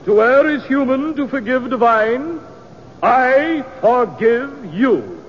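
An actor playing a pompous, grandiloquent stage tragedian in florid, declamatory 1950s radio drama style. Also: male voice, very high voice, very animated, takes it slowly, a little hiss or hum, full character voice. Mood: wounded grandeur. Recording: muffled old radio recording, echoing hall.

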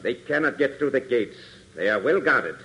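A man's voice: medium pitch, expressive, normal speed, sharp tone, rough recording. A man playing a stern, impatient villainous gang boss in a 1940s radio serial, curt and commanding. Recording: hissy 1940s radio transcription.

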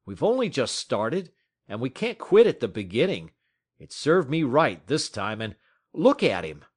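A man's voice: mid-range voice, sing-song, measured pace, breathy edge, voice pushed up. A man voicing an earnest dog owner who talks urgently and persuasively. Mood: pleading.

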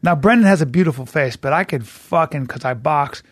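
A man's voice: fairly high pitch, animated, a little fast, no room sound. A man co-hosting a podcast in casual chat, talking in an emphatic, lecturing, jokey way. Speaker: podcast co-host.

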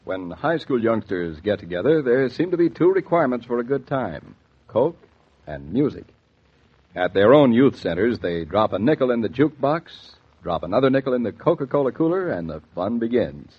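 A man with a husky voice announcing in a genial, polished 1940s sponsored radio style. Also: mid-range voice, big pitch swings, normal speed. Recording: tinny, band-limited 1940s radio transcription.

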